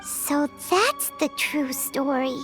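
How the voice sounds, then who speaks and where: An extremely high, childlike fairy companion in a cartoonish video game style. She is tiny, earnest and curious, squeaking along slowly over faint music. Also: big pitch swings, heavily acted. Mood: thoughtful, saddened.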